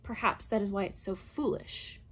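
A woman's voice: medium pitch, normal speed, breathy edge, natural voice. A woman voicing a bitter, lonely woman psychologist in her late thirties, speaking tensely and breathlessly. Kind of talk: audiobook character reading.

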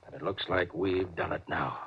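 An actor playing a tense, suspicious, commanding starship captain in a 1950s science fiction radio drama, urgent and insistent. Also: male voice, deep voice, moderate pace, vocal fry. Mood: grave. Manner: urgent, insistent, repeats orders. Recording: dull, noisy 1950s radio recording.

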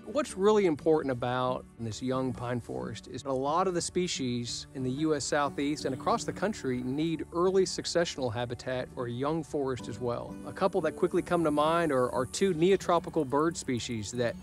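Male wildlife biologist on a forest walk, eager explainer, plain mid-range voice, faint music underneath. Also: mid-range voice. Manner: enthusiastic, explanatory. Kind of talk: explaining outdoors on a forest tour. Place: outdoors in a pine forest.

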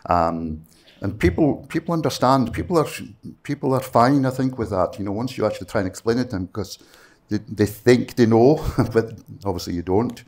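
A man's voice: fairly low pitch, lively intonation, fairly quick, a little mellow. A middle-aged Scottish man, a native Gaelic speaker, talking earnestly on a panel with many fillers into a handheld mic in a conference room. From Scottish Western Isles. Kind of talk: panel discussion talk.

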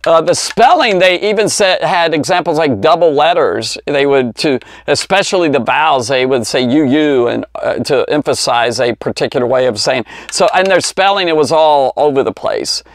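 A man's voice: fairly high pitch, lively intonation, normal speed, hardly any pauses, no echo. A man in his fifties with an American accent, giving an informal, rambling Bible lesson for kids, often restarting and correcting himself. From Cincinnati, United States. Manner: rambling, conversational, with false starts and self-corrections. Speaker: Bible teacher. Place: small indoor study lined with bookshelves. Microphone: lapel mic clipped at the collar.

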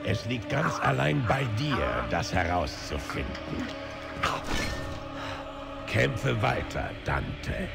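A man voicing a mocking dark spectre in a video game, speaking slowly and ominously to taunt a warrior. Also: fairly low pitch, very animated, gravelly, full character voice. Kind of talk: dramatic video game acting. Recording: loud music under the voice.

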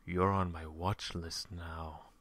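An adoring, breathless audience member in a fan story, voiced by a man. The voice is deep and slow, hushed yet with a bright edge. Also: level tone, dry recording, full character voice. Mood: eager, adoring.

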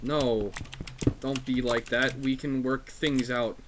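A man's voice: medium pitch, fairly even intonation, slow pace, noisy background. A young man playing a game with friends, joking and swearing in casual chat. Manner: joking, crude, playful. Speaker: gameplay recorder.

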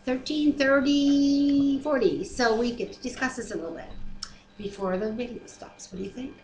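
Middle-aged woman, slightly high voice, scripture reader with informal asides; echoey living-room webcam sound. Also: fairly even intonation, moderate pace, a little mellow. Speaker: Bible reader and discussion co-host. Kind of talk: reading scripture aloud with casual commentary. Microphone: computer webcam mic at desk distance. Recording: roomy, echoey home webcam, faint background noise.

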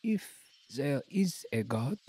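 A man's voice: medium pitch, sing-song, slow pace, warm tone, breathy edge, dry recording, full character voice. An actor playing a thoughtful, idealistic young Frenchman, earnest and reflective, in a radio drama. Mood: earnest, pensive.

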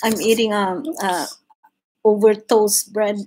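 Slow-talking Filipino woman chatting while drawing. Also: medium pitch, steady tone, mellow. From Philippines. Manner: chatty, switches between English and Tagalog, reads chat aloud, laughs often. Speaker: livestream host. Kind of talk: casual chat while drawing live. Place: home living room, indoors.

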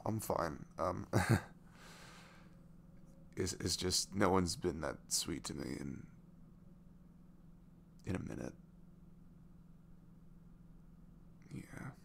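A man playing a sweet, wounded single dad in an intimate ASMR roleplay, speaking softly and hesitantly. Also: low-pitched, animated, gravelly, a little hiss or hum, exaggerated voice. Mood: shy, touched. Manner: hesitant, soft-spoken, halting.

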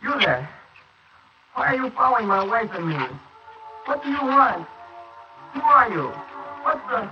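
A man's voice: very high-pitched, very animated, measured pace, over music, full character voice. A man playing a frightened young husband haunted by guilt, in tense, panicky 1940s radio acting. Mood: panicked. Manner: tense, panicky, rising to shouts. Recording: hissy lo-fi 1940s wire recording.

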